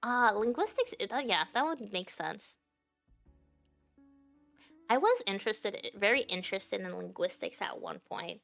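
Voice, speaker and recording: A woman's voice: medium pitch, sing-song, a little slow, gravelly, pauses often, a bit bright, faint music behind. Rambling streamer woman, Japanese native. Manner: rambling, many fillers and restarts. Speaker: livestreamer. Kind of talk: casual stream chat.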